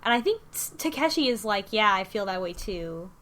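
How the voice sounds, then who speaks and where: Halting woman recapping a story. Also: medium pitch, very animated, fairly bright tone. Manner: halting, self-correcting. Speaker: podcast host. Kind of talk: casual story recap and discussion.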